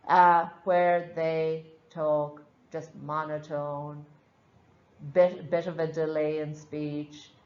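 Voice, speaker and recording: A woman's voice: fairly low pitch, reverberant, muffled recording. A woman with an Irish accent teaching a live nursing class, frank and chatty, explaining with examples. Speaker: nursing instructor.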